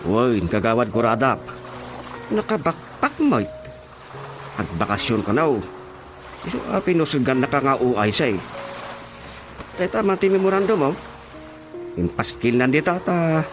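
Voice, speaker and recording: A man's voice: medium pitch, very animated, unhurried, pauses often. A man acting in an Ilocano radio drama as an exasperated, stern village chairman, scolding expressively. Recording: music playing behind the voice. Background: prominent background music.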